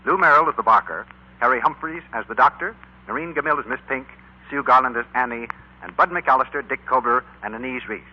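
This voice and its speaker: Husky American announcer man, muffled radio. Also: mid-range voice. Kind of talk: 1940s radio credits announcement. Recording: muffled 1940s radio broadcast.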